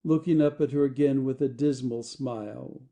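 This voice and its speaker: A woman's voice: a bass voice, fairly even intonation, moderate pace, mellow. A woman giving scripted narration, reading out the stage directions of an audio play.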